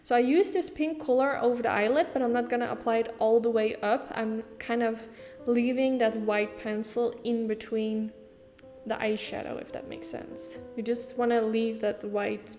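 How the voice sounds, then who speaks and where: Dutch woman, non-native English, fairly high voice, chatty tutorial narration, reverberant room, soft music behind. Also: steady tone, moderate pace. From Netherlands. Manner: chatty, explains step by step with casual fillers. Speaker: beauty YouTuber. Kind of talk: makeup tutorial voice-over.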